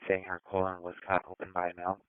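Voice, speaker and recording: Male dispatcher, very low pitch, crisp voice, procedural announcements, narrow scanner radio. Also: completely flat delivery, quick pace, no room sound. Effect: two-way radio.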